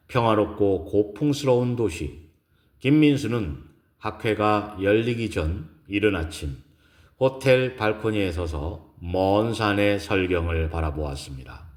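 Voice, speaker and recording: A man narrating a romantic audio drama in a scripted, slow and solemn style, with measured pauses. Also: on the low side.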